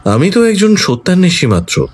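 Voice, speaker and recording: An actor playing a shrewd, calm detective who reasons things through in a measured way. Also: male voice, high voice, husky, exaggerated voice. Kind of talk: audio drama acting. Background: faint background music.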